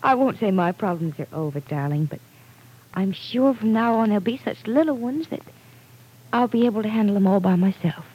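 An actress playing an affectionate, reassuring grown daughter in 1940s radio soap style. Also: female voice, mid-range voice, expressive, fairly quick, background noise, natural voice. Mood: breezy reassurance. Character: reassuring grown daughter, affectionate, breezy, independent young woman.

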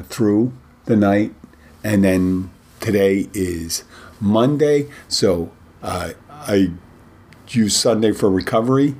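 Brief: middle-aged male podcast host, deep husky voice, slightly rough from a cold, loose halting delivery. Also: a little mellow. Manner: rambling, halting, many restarts. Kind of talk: casual solo podcast talk.

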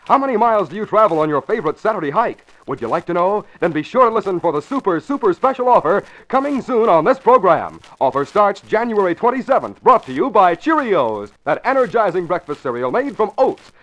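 A man with an American accent reading scripted announcements and sponsor pitches in 1940s radio style. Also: big pitch swings, a little fast, few pauses, fairly bright tone. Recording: dull old 1940s radio recording.

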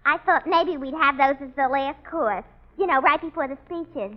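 An actress playing a practical young woman who helps run a tavern in a 1940s radio sitcom, speaking in a brisk, sensible manner. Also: high voice, natural voice. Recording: dull old radio broadcast.

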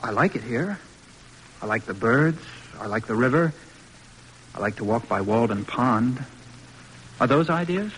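An actor playing a polite, simple, hesitant young son who loves farm life, in an old-time radio drama. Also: male voice, medium pitch, animated, a little slow, long pauses, a bit bright, a little hiss or hum, low-quality recording. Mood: sheepish, dreamy.

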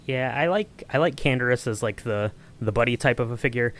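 A man's voice: mid-range voice, a little fast, fairly bright tone, slight background noise. A man hosting a podcast in a casual, informal, conversational way. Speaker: podcast host. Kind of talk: casual chat.

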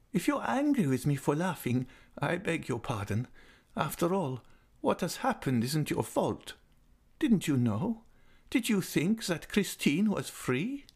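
Guy doing a sweet old lady voice, high and bouncy, giggly and coaxing, storybook style. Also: darkish tone, husky, heavily acted. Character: cheerful kindly old woman, frail, childlike.

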